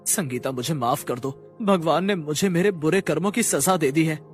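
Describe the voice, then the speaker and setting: A man's voice: a little high, normal speed, faint music behind, lightly acted. A man playing a remorseful, emotional injured husband in a cartoon moral story.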